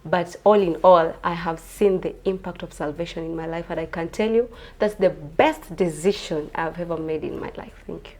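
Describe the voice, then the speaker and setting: A woman in her twenties from Kenya, a pageant contestant answering interview questions in an earnest, soft-spoken way. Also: lowish voice, steady tone, moderate pace, hardly any pauses. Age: 20s.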